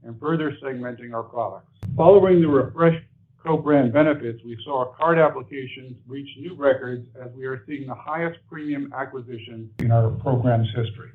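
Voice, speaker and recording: A man's voice: mid-range voice, moderate pace. A male airline executive presenting and discussing company results in a businesslike way. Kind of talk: corporate earnings presentation and answers. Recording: narrow muffled conference-call phone audio.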